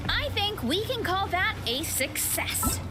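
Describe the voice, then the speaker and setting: Cheerful high-voiced young athletic heroine. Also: female voice, full character voice. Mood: cheerful, encouraging. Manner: modest, encouraging, giggly. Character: cheerful, modest, athletic young woman fighter. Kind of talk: voice acting for an anime fantasy video game. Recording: loud game music behind.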